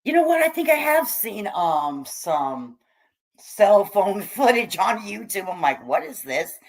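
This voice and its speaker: A middle-aged or older American woman hosting a casual livestream, chatting with viewers in a salty, slangy, irreverent way on the slightly low side. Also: big pitch swings, unhurried. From United States, raised among hippies. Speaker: livestream host reading and answering chat. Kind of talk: casual livestream chat, joking commentary.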